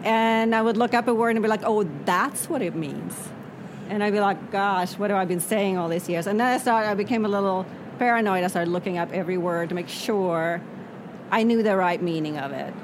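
A woman whose first language is Swedish, an author answering interview questions in a reflective way that often trails off softly. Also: mid-range voice, brisk. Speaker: author guest. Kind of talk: interview conversation. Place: noisy spot near traffic. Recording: background traffic noise.

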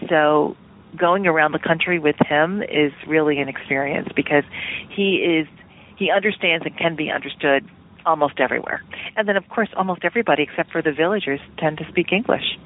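A woman's voice: sits low, a little fast. A woman television producer talking casually as a radio interview guest, chatty and hedging as she thinks aloud. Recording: tinny phone line.

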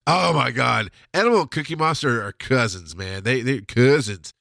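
A man's voice: mid-range voice, animated, moderate pace, gravelly, sharp tone, no echo. A man hosting a podcast from his home studio, telling a long personal story in a rambling, profane way with a stuffy nose and frequent laughs. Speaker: podcast host. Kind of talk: casual storytelling monologue.

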